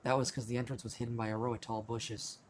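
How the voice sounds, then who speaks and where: A man's voice: medium pitch, flat delivery, quick pace, a little hiss or hum, lightly acted. A man playing a hot-headed, bitter teenage boy in an amateur radio play, with an informal, grumbling manner. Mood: rueful, bitter. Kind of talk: amateur radio play acting, first-person narration.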